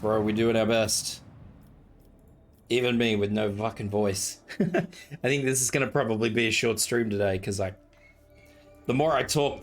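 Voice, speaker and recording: A hoarse man chats casually on a live game stream, his voice fading. He speaks low and swears freely while faint music plays behind him.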